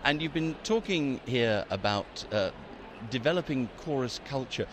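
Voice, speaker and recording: A male radio presenter with a lively voice that moves a lot in pitch. He introduces segments and asks questions with enthusiasm, while traffic noise is clearly heard behind him. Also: unhurried. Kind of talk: radio magazine interview.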